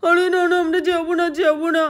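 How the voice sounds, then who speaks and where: A woman's voice: very high-pitched, little pitch movement, quick pace. A woman voicing an easily scared village child who boasts of being brave, with childish, exclamatory, stammering bluster in a doll story. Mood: frightened.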